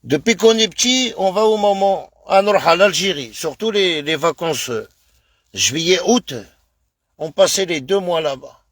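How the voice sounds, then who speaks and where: Man from France, high-ish voice, slow and insistent, streaming live into a close phone mic with dry sound. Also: animated, a bit bright, no room sound. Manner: emphatic, repetitive, addresses viewers as brother. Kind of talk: casual live-stream rant.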